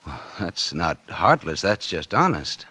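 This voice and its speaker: An actor playing a scheming, smug American publisher in 1940s radio noir, speaking in a sardonic, confiding way. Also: male voice, deep voice, very animated, unhurried, sharp tone, slightly rough, no echo, heavily acted. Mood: tender, hesitant. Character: scheming, smug publisher narrating his crime, smitten lover. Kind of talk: 1940s radio noir drama acting and narration. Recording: vintage 1940s radio recording with music.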